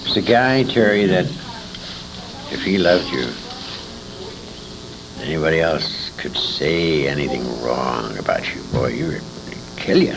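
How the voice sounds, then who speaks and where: A man in his sixties with an American accent recounts his life in an interview. His voice is very low and crackles with fry, and he tells it dramatically, with big swings in intonation. The tape is noisy, with a rumble underneath. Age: 60s. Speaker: interviewee, former radio actor. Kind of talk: anecdotal reminiscence in an interview. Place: recorded interview at a convention.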